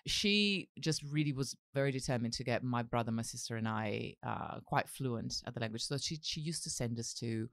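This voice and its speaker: A woman, chatting as an interview guest, animated and laughing often with many restarts. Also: a bass voice, normal speed, few pauses, no room sound, clean studio sound. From Italy (Milan). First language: Italian. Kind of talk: casual interview chat.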